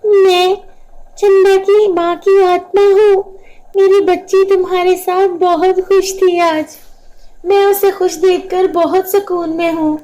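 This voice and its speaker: A woman voicing the grieving, protective ghost of a mother, imploring and reproachful in an animated story. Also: extremely high pitch, takes it slowly, rough recording. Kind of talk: Hindi animated moral storybook dialogue.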